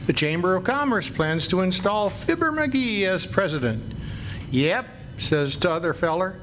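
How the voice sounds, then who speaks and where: Guy doing high pitched jolly old geezer, folksy storyteller, radio comedy, bit noisy. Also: big pitch swings, a little slow, a bit bright, heavily acted. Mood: jolly, teasing. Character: folksy old-timer, jolly elderly yarn-spinning man. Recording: noisy live stage recording.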